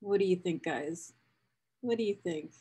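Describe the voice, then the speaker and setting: A woman's voice: lowish voice, normal speed, warm tone, slightly rough. A woman reading a book excerpt aloud as a presenter, with casual asides to viewers, speaking enthusiastically and repeating phrases for emphasis. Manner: enthusiastic, emotionally invested.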